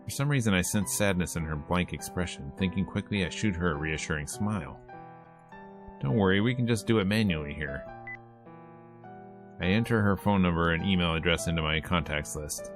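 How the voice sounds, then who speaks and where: A man streaming a visual novel, reading the narration aloud in a conversational, expressive way. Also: deep voice, a little fast, over music. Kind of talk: game let's-play, reading narration aloud.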